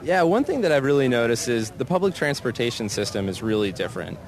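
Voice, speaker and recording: A man's voice: medium pitch, sing-song, quick pace. A man with an American accent answering casually in an outdoor street interview. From United States. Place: outdoors in a busy city square. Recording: busy outdoor crowd and traffic.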